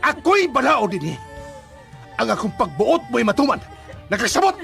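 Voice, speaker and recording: A woman's voice: fairly high pitch, very animated, moderate pace, creaky, a bit bright, faint music behind, full character voice. A woman acting an imperious, stern figure who demands obedience in a Filipino radio drama, domineering and emphatic, with a Cebuano accent. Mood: domineering, angry.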